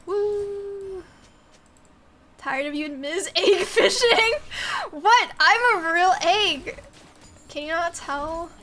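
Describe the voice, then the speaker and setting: A woman's voice: very high voice, lively intonation, slow pace, crowd noise. A young woman in her twenties whose first language was Mandarin, chatting casually on a game stream, giggling and filling her talk with "like" as she reads chat aloud.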